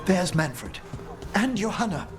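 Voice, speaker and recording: A man's voice: sits high, very animated, slow, over music, exaggerated voice. A man playing a scholarly older male necromancer in a video game, with refined, theatrical and precise diction. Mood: measured, purposeful.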